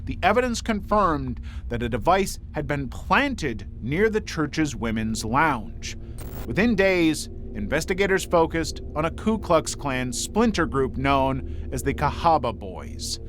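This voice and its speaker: A man reading scripted true-crime documentary narration in a measured, serious manner. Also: on the high side, sing-song, fairly bright tone, faint music behind.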